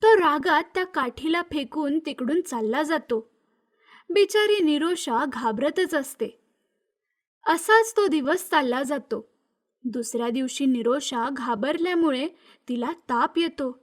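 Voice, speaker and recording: High-pitched woman narrating a story. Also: expressive, a little slow. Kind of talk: scripted children's story narration.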